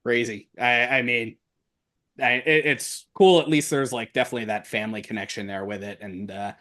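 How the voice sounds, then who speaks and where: A man with a mid-range voice hosts a casual podcast interview. He speaks haltingly, often pausing to find his words as he builds toward a question. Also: mid-range voice, fairly even intonation, sharp tone. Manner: halting, searching for words while phrasing questions.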